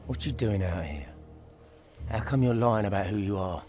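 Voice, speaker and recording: A man plays a menacing henchman in a fantasy game. His low voice is gruff and suspicious, with clear music playing behind it. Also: animated, normal speed, a little mellow, natural voice. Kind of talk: video game villain acting.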